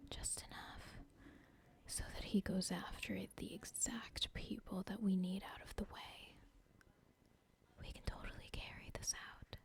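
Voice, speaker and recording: A woman's voice: mid-range voice, vocal fry, a little mellow. A woman voicing a calculating female cartel boss in an ASMR roleplay, whispering breathily and plotting aloud.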